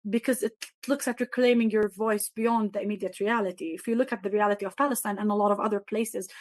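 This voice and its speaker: A woman's voice: medium pitch, no echo. Earnest woman panelist, Arabic native. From Jerusalem. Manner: earnest, reflective, with many fillers and restarts. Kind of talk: panel talk.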